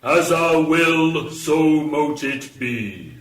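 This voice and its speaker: A man's voice: on the high side, expressive, drawn-out words. A man acting as a solemn cult monk in a radio drama, intoning a ritual chant. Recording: distant, echoing, under loud music.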